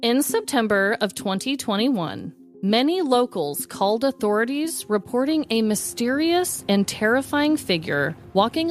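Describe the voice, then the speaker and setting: A woman podcast host chatting casually and running a game in an animated way. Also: mid-range voice. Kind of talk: casual banter and game hosting.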